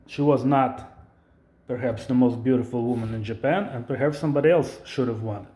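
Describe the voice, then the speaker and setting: Man from Ukraine, mellow dark tone, indignant profane rant, roomy echo. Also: medium pitch, brisk. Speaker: tech channel host. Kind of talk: ranting video commentary.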